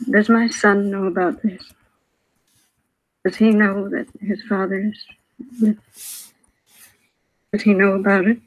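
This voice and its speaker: A woman's voice: medium pitch, flat delivery, slow, long pauses, rough recording. A frail old widow in a vintage radio crime drama. She is hesitant and plaintive, apologizing as she goes, a shaken victim who is unsure of herself. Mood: sorrowful. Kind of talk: 1950s radio drama acting. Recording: vintage 1950s radio broadcast.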